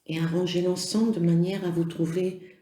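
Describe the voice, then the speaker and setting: A yoga nidra instructor guiding a relaxation meditation in gentle, measured phrases with pauses. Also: medium pitch, level tone, roomy sound. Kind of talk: slow guided relaxation meditation.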